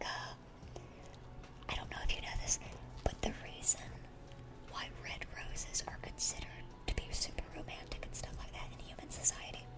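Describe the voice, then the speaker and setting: A woman playing a dorky, smitten young demon girl in a gentle, rambling, affectionate ASMR roleplay. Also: lightly acted.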